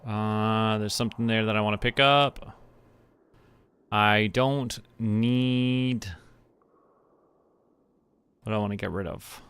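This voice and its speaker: A man from Canada doing relaxed, casual commentary as he plays a video game, often muttering to himself. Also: lowish voice, slow pace, pauses often. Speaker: streamer playing a video game. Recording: clear background noise with clicks.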